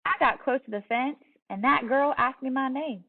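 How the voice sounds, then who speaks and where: A woman with a Southern US accent giving an expressive storybook read-aloud as a teacher, telling it in a young girl's words with soft dips at phrase ends. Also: high voice, moderate pace, noisy background. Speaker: teacher reading a picture book aloud. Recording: distant, tinny phone-like sound.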